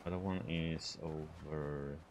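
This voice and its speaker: A man's voice: rumbling low, fairly even intonation, slow, a little mellow, lo-fi sound, a little hiss or hum. A man from Sweden, casually commenting on a video game as he plays and muttering to himself and to the characters on screen. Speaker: game streamer.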